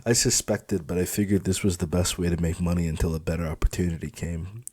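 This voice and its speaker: A man's voice: low voice, fairly even intonation, fast talker, a little mellow, breathy edge. A man reading scripted first-person fiction as an audiobook narrator.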